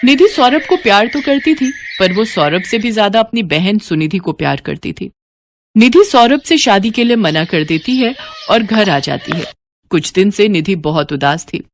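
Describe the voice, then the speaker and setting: A woman's voice: mid-range voice, lively intonation, normal speed. A woman narrating a scripted moral story as a storyteller.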